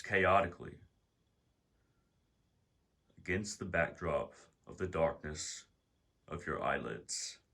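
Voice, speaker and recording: A man narrating a guided meditation as its guide, in deliberate, measured phrases with pauses. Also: low voice, creaky, bright, forceful tone, husky.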